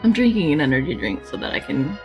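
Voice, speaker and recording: A woman's voice: low-pitched, sing-song, fast talker, music in the background. A woman live streamer chatting casually over a video game in rambling, informal asides.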